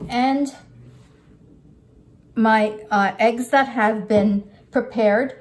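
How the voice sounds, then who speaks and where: A woman's voice: on the high side, fairly even intonation, extremely slow, poor audio. An older woman giving a casual, unscripted cooking demonstration, talking through each step as she works. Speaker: cooking instructor. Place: home-style kitchen, indoors at a counter. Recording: clear kitchen clatter and background noise.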